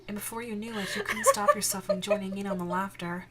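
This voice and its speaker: A woman reading a scripted second-person ASMR story as narrator, in a soft, dreamy storytelling manner. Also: medium pitch, huge pitch swings, quick pace, a crowd in the background. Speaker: narrator and voice actress. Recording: music under the narration. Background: instrumental music.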